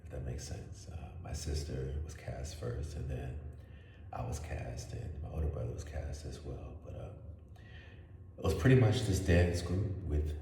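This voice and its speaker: A man, an actor, introducing himself in a casual, unhurried way, hesitant, with frequent ums and soft laughs. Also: extremely low pitch, lively intonation, slight background noise. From Washington DC area, USA. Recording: echoey room, voice far from mic.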